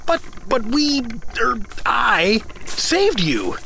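A man's voice: very high-pitched, very animated, very slow, gravelly, sharp tone, a little hiss or hum, heavily acted. A man playing a well-meaning but clueless dad turned adventurer in a fantasy audio drama, hesitant and apologetic. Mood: pleading, indignant.

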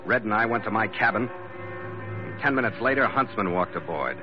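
An actor playing a tough, wisecracking ship's captain with an American accent in a hard-boiled old radio adventure, sardonic and slangy. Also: male voice, lowish voice, animated, normal speed, lightly acted. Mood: narrating coolly. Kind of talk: hard-boiled 1940s-50s radio acting and narration. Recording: dull vintage radio recording.

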